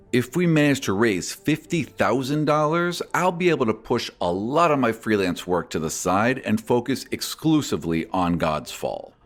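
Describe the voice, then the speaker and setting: Man hosting a podcast, lively rising and falling delivery. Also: mid-range voice. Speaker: podcast host and game master. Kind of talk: scripted podcast announcement and narration.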